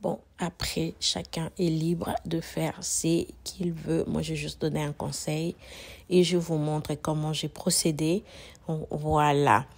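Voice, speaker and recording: A woman with a cold narrates her home cooking, her voice hoarse and fairly low. She speaks in a flat, even tone, chatty and loose, repeating words and dropping in fillers as she works. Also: takes it slowly. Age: adult mother. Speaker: cooking channel host. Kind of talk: casual cooking tutorial narration.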